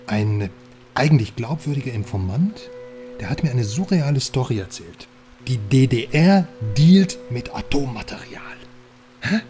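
A man's voice: mid-range voice, a little mellow, close to an everyday voice. An actor playing a cocky, boastful small man, an irreverent journalist in a radio crime drama, talking in a wry, chatty way.

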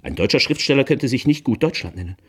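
An actor playing an urbane, garrulous, flattering impresario with a husky voice, in a theatrical radio drama monologue. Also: male voice, medium pitch, fast talker. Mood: amused irony. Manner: theatrical, effusive, peppering speech with French phrases.

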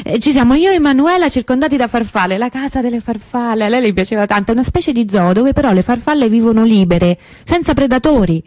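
A woman's voice: on the high side, expressive, a little mellow, dry recording, close to an everyday voice. An actress playing an anguished young woman remembering her missing sister in an intimate, hesitant radio monologue. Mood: grieving, nostalgic. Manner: confiding, hesitant, conversational with pauses and repetitions.

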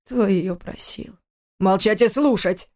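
A man's voice: high voice, brisk, natural voice. A woman voicing a stern, angry older father who scolds his grown son reproachfully in an audiobook. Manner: forceful, reproachful, rhetorical questions.

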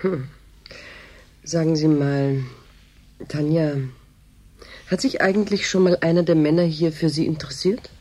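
A woman's voice: low-pitched, unhurried, long pauses, mellow. An actress playing a detached, bureaucratic female doctor in a German radio drama, matter-of-fact and probing. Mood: casually probing.

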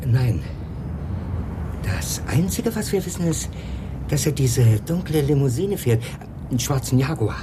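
An actor playing a nervous, wary London crook in a German crime radio play, speaking tersely and anxiously. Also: male voice, mid-range voice, big pitch swings, unhurried, long pauses, noisy background. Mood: uneasy.

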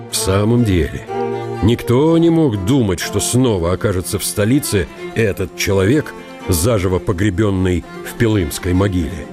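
A man's voice: on the low side, close to an everyday voice. A man narrating a historical radio play in a dramatic literary style.

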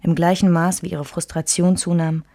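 A woman's voice: fairly low pitch, little pitch movement, normal speed, mellow, no echo, clean studio sound, close to an everyday voice. An actress playing a wistful woman who intimately recalls a past love triangle in a literary radio play. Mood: wistful, tender.

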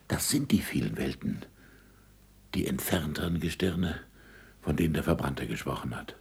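A middle-aged scholar of the stars in a radio play. His voice is very low and badly husky, and it swings widely in pitch. He speaks with impatient passion and theatrical emphasis. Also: lively intonation, moderate pace, full character voice. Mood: intent, wondering. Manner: emphatic stage diction, swinging from murmurs to shouts. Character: passionate, impatient middle-aged astronomer, hungry for proof and food. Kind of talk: 1960s German radio drama acting.

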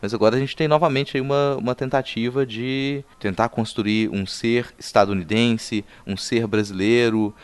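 A Brazilian man hosting an interview, asking long reflective questions in a halting, conversational way with repeated words. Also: mid-range voice, fairly even intonation, smooth, no room sound. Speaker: podcast host, interviewer.

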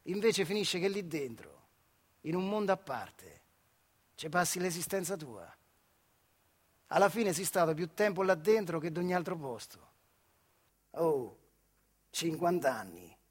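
Weary old central Italian worker, high-pitched. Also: male voice, lively intonation, measured pace, pauses often, breathy edge. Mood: reflective. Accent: central Italian, Marche. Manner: colloquial dialect, wry and rueful. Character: weary, bitter old retired factory worker, ironic. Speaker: solo stage actor. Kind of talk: dramatic theatrical monologue.

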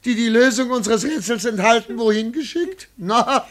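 Wry, dramatic investigator, dry studio sound. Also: male voice, extremely high pitch, animated, normal speed, fairly bright tone, no echo. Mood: gleeful, excited. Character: wry male postwar investigator, excitable, recounting his case. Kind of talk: German radio play acting and narration.

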